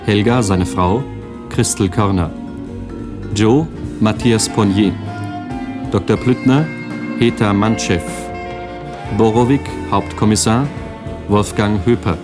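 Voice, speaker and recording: A man's voice: fairly low pitch, takes it slowly, pauses often. A man announcing the title and cast of a radio play in a formal style. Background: loud plucked guitar music.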